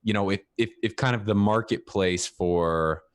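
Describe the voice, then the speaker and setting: A man co-hosting a casual crypto podcast, thinking aloud in a measured, hesitant way. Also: fairly low pitch, no echo. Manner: measured, reflective, some hesitation. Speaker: podcast co-host. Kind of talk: casual panel discussion.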